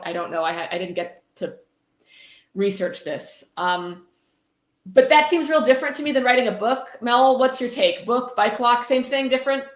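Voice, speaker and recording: A woman hosting a livestream, chatting casually and reading aloud in an informal, sweary way. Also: on the high side. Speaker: livestream host. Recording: roomy, echoing livestream audio.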